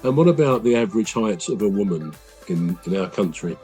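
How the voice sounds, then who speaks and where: A man with a naturally deep voice hosts a relaxed podcast chat. His tone is low and mellow, and he explains things patiently with frequent ums and uhs. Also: faint music behind. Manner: measured, explains at length with many um and uh. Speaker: podcast host.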